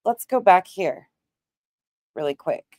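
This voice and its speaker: A woman's voice: on the low side, lively intonation, slow pace, warm tone, no room sound, clean studio sound. A woman commentating on played clips on an online talk show, casual, opinionated and emphatic.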